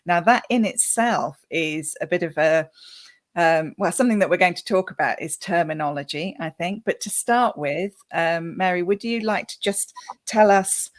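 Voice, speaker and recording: A woman's voice: on the low side, normal speed. A woman hosting a live interview in a conversational, informal way. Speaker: interview host.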